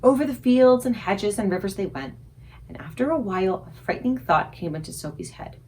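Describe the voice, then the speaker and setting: Animated woman reading a children's storybook. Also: mid-range voice, poor audio. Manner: dramatic, suspenseful emphasis with deliberate pauses. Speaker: librarian reading aloud for a book club. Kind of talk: expressive storybook read-aloud for children.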